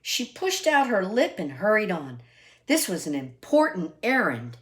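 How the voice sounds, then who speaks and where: A woman reading a children's picture book aloud expressively, deliberate and emphatic, with asides to listeners. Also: mid-range voice, very animated. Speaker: read-aloud storyteller.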